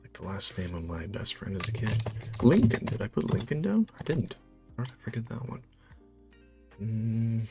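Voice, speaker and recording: A North American man with a fairly low voice hosts a casual quiz playthrough. He thinks out loud, often hesitating and muttering to himself. Light music plays behind him. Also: lively intonation, moderate pace, vocal fry. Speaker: host playing a geography quiz. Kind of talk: casual game commentary, thinking aloud.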